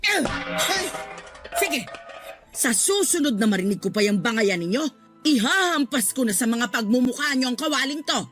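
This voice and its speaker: A woman's voice: fairly high pitch, lively intonation, normal speed, over music, natural voice. An actress playing a stern, exasperated middle-aged mother who scolds her children in a radio drama. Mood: scolding. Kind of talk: Tagalog radio drama acting.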